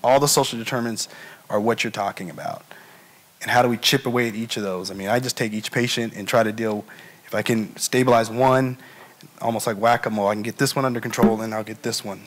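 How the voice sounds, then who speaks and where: A man answers a question on a panel at a public meeting, speaking as a health care provider. His voice sits a little low, and he talks hesitantly, pausing often to gather his thoughts. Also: steady tone. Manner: thoughtful, hesitant, many ums.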